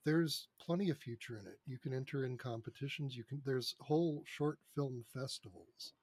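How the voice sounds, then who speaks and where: Husky-voiced man in casual conversation. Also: mid-range voice, normal speed, darkish tone, no echo. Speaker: interview guest, radio drama producer. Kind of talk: casual interview storytelling.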